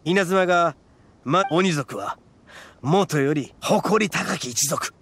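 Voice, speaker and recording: An actor playing a brash, proud young horned oni gang boss in an anime game, with rough, blunt, swaggering speech. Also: male voice, fairly high pitch, slow pace, a bit bright, exaggerated voice. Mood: solemn, explaining.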